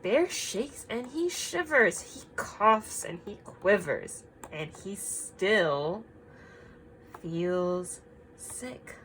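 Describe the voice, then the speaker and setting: A woman reading a picture book aloud to children, expressive and emphatic, pausing to ask simple guiding questions. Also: mid-range voice, slight background noise, poor audio.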